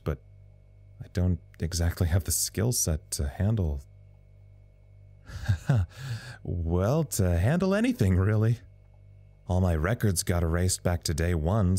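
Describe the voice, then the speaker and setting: A tired male communications officer recording a log in an audio drama. His voice is deep and unhurried, with lively swings in pitch. He rambles wryly and puts himself down. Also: exaggerated voice. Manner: rambling, wry, self-deprecating. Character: weary, self-deprecating male communications officer at a secret facility.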